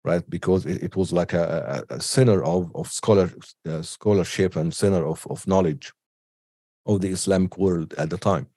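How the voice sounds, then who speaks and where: A man giving an unscripted online lecture with a cold, halting often with ums and restarts. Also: low voice, mellow, no echo, studio quality. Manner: hesitant, many ums and restarts. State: has a cold. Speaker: teacher.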